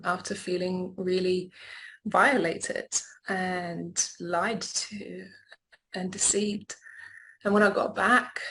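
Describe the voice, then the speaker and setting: A woman's voice: medium pitch, vocal fry, fairly bright tone, rough recording. A woman from Lithuania speaking as an interview guest, telling her personal story in a candid, reflective way.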